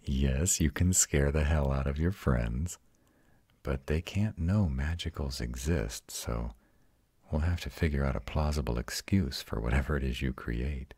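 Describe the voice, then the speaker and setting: A man performs a proud male vampire comforting his lover in an audio roleplay. His voice is extremely low and husky, delivered very slowly, with tender, intimate reassurance. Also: mellow, no echo, exaggerated voice. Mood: soothing, patient. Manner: slow, soothing, intimate.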